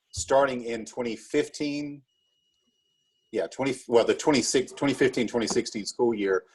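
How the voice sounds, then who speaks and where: Husky low-voiced man explaining things casually. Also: darkish tone, poor audio. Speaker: school drama club director being interviewed. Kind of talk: casual interview talk.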